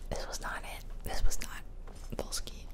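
A woman whispering casual, musing ASMR commentary close to the microphone as she plays a game. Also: moderate pace. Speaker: game streamer. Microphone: close microphone, ear-to-ear whispering.

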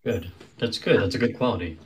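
A middle-aged man hosting a livestream, asking mock-serious, deadpan questions and thanking viewers for gifts. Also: on the low side, fairly quick, a little hiss or hum. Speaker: livestream host interviewing. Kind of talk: improvised playful interview. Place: indoor room. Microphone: phone front camera.

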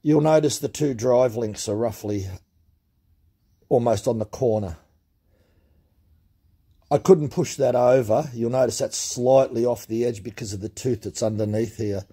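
A man's voice: mid-range voice, a little slow, pauses often, mellow, husky. A middle-aged man, demonstrating a tool in a practical, plain-spoken way at his workshop bench. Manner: plain-spoken and practical, with many fillers and restarts. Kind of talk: casual hands-on tool demonstration. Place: indoor workshop at a cluttered workbench. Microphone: camera mic filming his hands up close.

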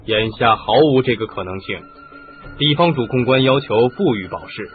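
A man's voice: mid-range voice, lively intonation, moderate pace, faint music behind, close to an everyday voice. Man acting skeptical inspector, narrow phone-like sound. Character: skeptical, firm male police inspector. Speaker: radio drama actor. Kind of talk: radio drama acting. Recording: muffled phone-like radio recording.

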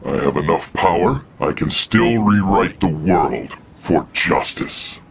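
An actor playing a proud, stern godlike creator in a superhero video game, declaiming grandly. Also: male voice, sits low, animated, slow pace, gravelly, a crowd in the background, heavily acted. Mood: solemn. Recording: voice under loud game music.

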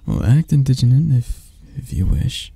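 Husky, dark-voiced predatory monster lover played by a man. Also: dry recording, full character voice. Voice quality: husky, breathy, gravelly low voice. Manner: slow, teasing murmur with soft chuckles and near-whispers. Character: predatory yandere spider-creature lover, teasing, possessive, tender. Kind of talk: intimate ASMR roleplay acting.

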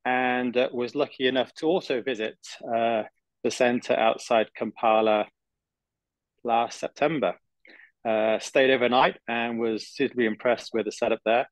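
A man recovering from knee surgery, introducing himself on a video call in a halting way full of fillers. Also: mid-range voice, no echo, poor audio. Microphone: handheld laptop webcam.

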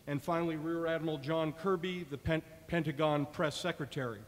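A man in his fifties moderating a panel, giving a prepared introduction to an audience at a stand microphone in an auditorium. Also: a little high. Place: large auditorium stage. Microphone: table stand microphone. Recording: echoey large hall through PA.

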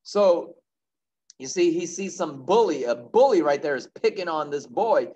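A man's voice: fairly high pitch, lively intonation, normal speed, mellow, breathy edge, dull audio. A man reading aloud to young children as a kindergarten teacher, chatty, asking questions and answering them himself.

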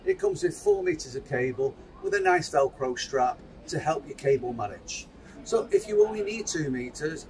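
A man in his fifties presenting and demonstrating a product kit at a trade show stand, filmed on a close phone camera. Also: low-quality recording. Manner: upbeat sales pitch, handling kit while explaining. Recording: trade show floor with clear background noise.

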